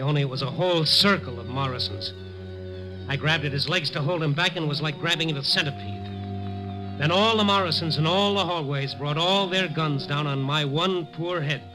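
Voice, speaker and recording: A man's voice: fairly high pitch, a little fast, music in the background, low-quality recording. A man narrating as a hard-boiled reporter in a 1950s radio drama, wry and wisecracking.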